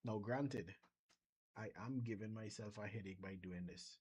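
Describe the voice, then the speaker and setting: Husky-voiced Trinidadian man demoing code. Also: fairly low pitch, a little slow, darkish tone. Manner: thinking aloud, restarts often. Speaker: software developer vlogger. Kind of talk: casual screen-share demo.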